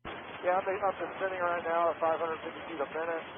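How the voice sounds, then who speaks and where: Male airplane passenger, higher-pitched, tinny cockpit radio, terse replies to air traffic control. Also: steady tone, brisk. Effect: aviation radio. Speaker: passenger flying a plane.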